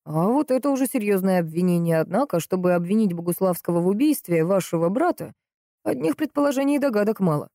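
Woman playing a measured, sceptical male investigator, mellow voice. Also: medium pitch, animated, no room sound, clean studio sound, natural voice. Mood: probing. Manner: questioning, measured. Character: male police investigator, polite, sceptical, somewhat puzzled.

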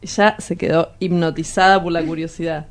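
A woman's voice: on the low side, fairly even intonation, fairly quick, natural voice. A woman playing a pretentious, boastful, evasive lover in a radio drama, with an Argentine Rioplatense accent and a hesitant, wordy, colloquial delivery. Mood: smug, confiding. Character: sleazy, pretentious, self-styled philosopher lover, evasive and boastful.

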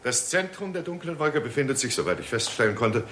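An actor playing a keen, courteous astronomer in a 1960s German radio drama, explaining things emphatically and politely. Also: male voice, mid-range voice, big pitch swings, brisk, fairly bright tone. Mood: confident, explanatory. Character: keen, courteous male astronomer presenting an alarming discovery. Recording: 1960s radio recording with faint noise.

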